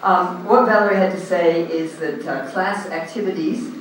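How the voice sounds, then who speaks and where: A man's voice: high voice, fairly even intonation, moderate pace, slight background noise. Australian man chairing in an echoey hall. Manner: loud, informal, hesitant with frequent ums. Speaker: meeting chair standing in for the president. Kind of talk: chairing a community meeting. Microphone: handheld microphone. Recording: echoey hall, recorded from a distance.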